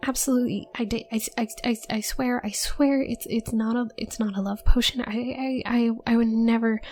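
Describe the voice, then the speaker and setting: A woman playing a shy, anxious, apologetic young witch student in an audio roleplay, with a soft, breathy voice and a heavy nervous stutter. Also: on the high side, fairly even intonation, normal speed, a little hiss or hum, natural voice. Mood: flustered, protesting. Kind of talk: intimate audio roleplay acting.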